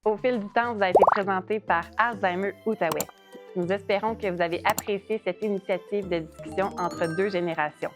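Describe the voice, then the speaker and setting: A woman's voice: medium pitch, fairly quick. A woman reading a scripted closing announcement as a narrator. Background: music.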